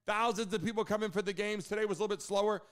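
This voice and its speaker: Fast-talking, high-voiced male livestream host. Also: steady tone, clean tone. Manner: direct, promotional address to viewers. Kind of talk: casual livestream hosting and promotion.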